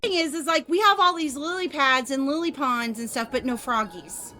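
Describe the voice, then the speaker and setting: Bubbly chatty woman with a bright voice. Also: high-pitched, lively intonation, normal speed, soft background music. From United States. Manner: chatty, giggly, lots of "like" and "you know". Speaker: stream host. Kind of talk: casual chat with a guest.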